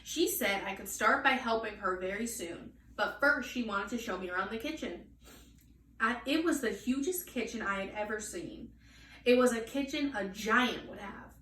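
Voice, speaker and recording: A woman reading a children's storybook aloud in an expressive style. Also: a little high, normal speed. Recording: echoey room, distant mic, faint noise.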